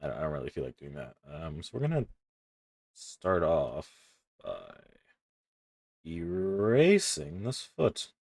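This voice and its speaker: A young man with a very deep, low voice talks through his drawing in loose, rambling chatter, cursing offhandedly and poking fun at himself. The sound is dry and close, with no room around it. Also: huge pitch swings, slow pace, gravelly, long pauses, darkish tone, no echo. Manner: rambling, self-deprecating, swears casually. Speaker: streamer. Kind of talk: casual commentary while drawing.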